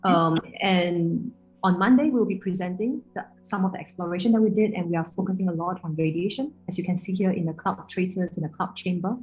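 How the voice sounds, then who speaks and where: A woman's voice: fairly low pitch, a little fast, hardly any pauses, darkish tone, faint music behind, rough recording. A woman from Singapore introducing a student project, friendly and hesitant with many fillers. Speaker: student interviewer. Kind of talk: casual interview introduction.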